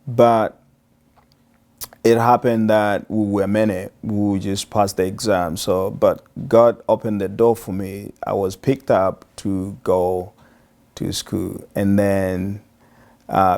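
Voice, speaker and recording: A man from Tanzania in his forties, a pastor telling his life story in an interview, with many pauses and fillers. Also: on the low side, dark tone.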